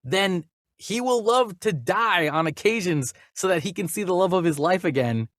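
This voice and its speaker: A man hosting a casual movie news chat, jokey and animated, sometimes stumbling as he reads. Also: sits high, moderate pace, dry recording, studio quality. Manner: jokey, animated, stumbles over names when reading. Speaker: podcast host reading and discussing movie news.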